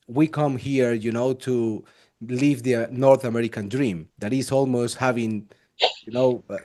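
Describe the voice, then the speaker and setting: Immigrant podcast host, quick and stumbling. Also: male voice, mid-range voice, darkish tone. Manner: quick, stumbling, non-native phrasing. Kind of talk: casual interview hosting.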